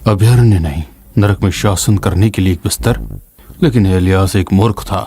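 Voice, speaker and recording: Deep, husky old scholar mentor. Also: male voice, moderate pace, dark tone, dry recording. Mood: solemn, reflective. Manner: solemn, reflective. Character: wise, weary old male scholar mentoring a younger ally. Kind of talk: dramatic fantasy game narration.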